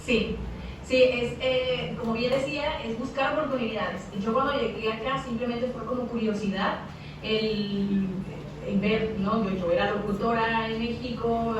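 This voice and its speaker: Mexican woman radio announcer, kinda high voice, restarts her sentences a lot, echoey faraway call audio with hiss. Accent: Mexican Spanish. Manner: hesitant, repeats words, thinks aloud. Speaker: radio announcer and guest speaker. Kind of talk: interview over a video call. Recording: echoey, distant, noisy video call audio.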